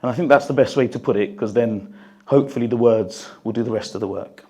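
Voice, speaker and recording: A man with a croaky, strained voice speaks to an audience at a book launch, then reads an excerpt, earnest and reflective, with the odd cough. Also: medium pitch, quick pace, a little mellow. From United Kingdom. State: croaky voice, recovering from losing it. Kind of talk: talk and reading from his book. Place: hall at a gathering, in front of an audience. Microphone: handheld microphone.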